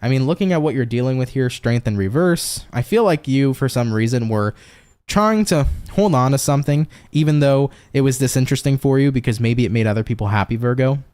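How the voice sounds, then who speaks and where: A man's voice: medium pitch, expressive, fairly quick, a little mellow. New york guy doing a tarot stream, chatty, swears a lot, talks right to chat. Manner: chatty, swears freely, talks straight to viewers. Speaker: tarot reader. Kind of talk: casual live-stream tarot reading.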